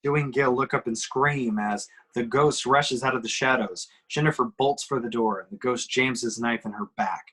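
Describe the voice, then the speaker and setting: A man's voice: medium pitch, moderate pace, hardly any pauses, fairly bright tone, husky. A man reading screenplay action descriptions aloud at a table reading.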